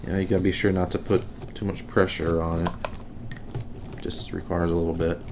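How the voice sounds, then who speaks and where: A man giving a casual, unscripted how-to demonstration, talking haltingly and unhurriedly as he works. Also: deep voice, level tone, background noise. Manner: unhurried, halting, thinking aloud while working. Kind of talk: casual unscripted hands-on tutorial.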